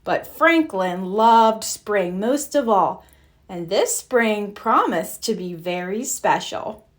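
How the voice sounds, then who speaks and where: A woman narrating a children's picture book aloud, gentle and expressive. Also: mid-range voice, slow. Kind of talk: read-aloud storybook narration.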